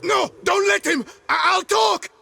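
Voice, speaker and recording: A man's voice: very high voice, unhurried, vocal fry, pushed and bright, shouting, exaggerated voice. An actor playing a frightened captured bandit in a video game, crude and stammering as he protests. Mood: panicked pleading. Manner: panicked stammering, crude taunting. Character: terrified captured bandit, defiant then cowering and pleading. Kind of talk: dramatic video game acting.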